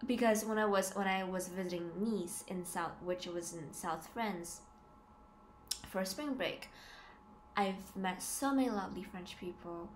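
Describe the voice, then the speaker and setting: A woman's voice: mid-range voice, unhurried. A woman in her twenties talking casually and confessionally to a camera indoors, hesitant yet emphatic, often repeating her words. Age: 20s. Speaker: student living abroad, vlogger. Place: small plain room indoors. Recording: noisy home camera recording.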